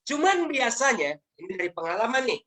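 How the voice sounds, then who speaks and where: A woman's voice: a little high, big pitch swings, brisk, fairly bright tone. A woman leading a motivational network marketing training over a video call, speaking emphatically in a lecturing manner and posing rhetorical questions.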